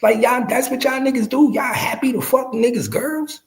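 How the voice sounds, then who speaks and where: A man's voice: very high voice, moderate pace, gravelly. A man in his early forties giving casual, slangy street commentary to his viewers, emphatic and profane, repeating phrases to drive them home. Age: early 40s. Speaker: podcast host.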